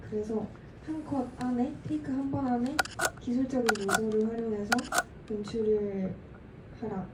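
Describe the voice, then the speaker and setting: Woman, student director, measured explanation, far from the mic, loud noise. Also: medium pitch. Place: cinema auditorium. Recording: distant, echoey, noisy hall.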